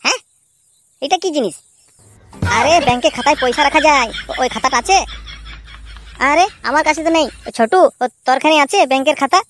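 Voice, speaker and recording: A performer voicing an excitable, crude petty crook in a slapstick cartoon, exclaiming and repeating words. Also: high voice, a little fast, pauses often, slight background noise. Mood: blustering threats. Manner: exclamatory, repetitive, swearing, bantering. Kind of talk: comic cartoon dubbing in colloquial dialect.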